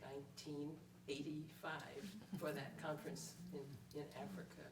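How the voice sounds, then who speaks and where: A woman explaining things thoughtfully to an audience, with hesitant, measured phrasing and frequent pauses. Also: sits low, flat delivery, vocal fry. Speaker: panel speaker and performer. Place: indoor room at a public library event. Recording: roomy, distant mic, faint noise.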